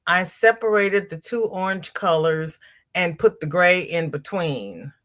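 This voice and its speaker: A woman's voice: lowish voice, animated, measured pace, a bit bright. A middle-aged woman hosting a casual crochet livestream, reading viewer comments aloud and greeting people by name.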